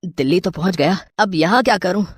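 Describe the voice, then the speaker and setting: A woman plays a twelve-year-old runaway orphan boy in a moral story. The voice sits fairly low and is soft and earnest, full of tender determination. It is recorded close and dry. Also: fairly quick, breathy edge, no room sound. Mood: downcast. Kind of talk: Hindi moral story dramatization.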